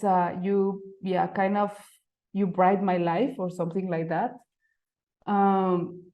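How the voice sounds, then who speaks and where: A woman in her thirties with a Mexican accent presents to an audience in English, her second language. She talks in a mid-range voice, pausing on "uh" and restarting sentences as she looks for words. The recording sounds a little dull and lo-fi. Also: mid-range voice, a little mellow. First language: Spanish. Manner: hesitant, frequent fillers and restarts, non-native phrasing. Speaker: type designer giving a talk. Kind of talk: conference presentation. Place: lecture venue.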